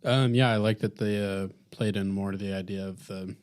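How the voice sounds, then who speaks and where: A man's voice: fairly low pitch, fairly even intonation, a little fast, darkish tone. A man co-hosting a podcast, talking casually and conversationally. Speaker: podcast co-host. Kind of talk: casual chat.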